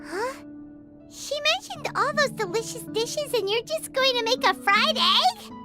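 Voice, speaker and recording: A woman voicing a tiny, chirpy childlike fairy companion in a video game, excitable and big-hearted. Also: a little slow. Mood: eager. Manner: squeaky, excitable, chattering. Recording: prominent music under the voice.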